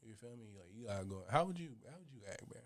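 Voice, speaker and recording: Young man, casual podcast chat, slangy, animated, jokey, mid-pitched voice. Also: fast. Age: young adult.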